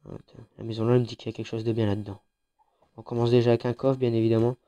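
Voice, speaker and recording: A congested gamer with a French accent and a mellow, dark-toned voice talks his way through a mobile shooter match. His delivery is chatty and loose, with frequent fillers and restarts, the voice thick from a cold. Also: mid-range voice, flat delivery, very fast, highs cut off. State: has a head cold, congested. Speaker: gaming YouTuber. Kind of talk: casual mobile game commentary.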